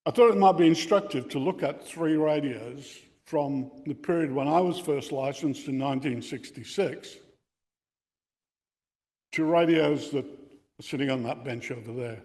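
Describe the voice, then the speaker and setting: A man's voice: on the high side, measured pace, warm tone. Older Australian man in a reverberant hall. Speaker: amateur radio club speaker. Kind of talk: technical lecture to radio enthusiasts. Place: indoor meeting room with equipment on a bench. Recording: reverberant hall or meeting room.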